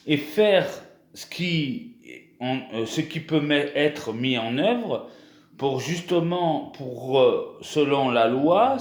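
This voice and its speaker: A man's voice: a little high, a little mellow, echoey room. A young man from France talking casually to his viewers in a vlog, hesitant, with many restarts and fillers. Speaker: YouTuber.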